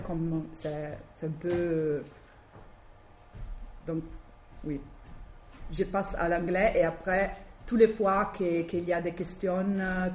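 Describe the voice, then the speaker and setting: A woman from Italy speaking with a strong Italian accent, giving an academic talk and often pausing to search for words. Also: fairly low pitch, a little mellow. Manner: halting, searching for words with many fillers. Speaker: guest lecturer. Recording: echoey room, faint background noise.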